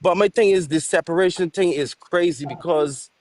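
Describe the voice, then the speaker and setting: A man's voice: high-pitched, normal speed, darkish tone. A man from Barbados with a Bajan accent, arguing insistently as a guest on a heated live stream and repeating his points.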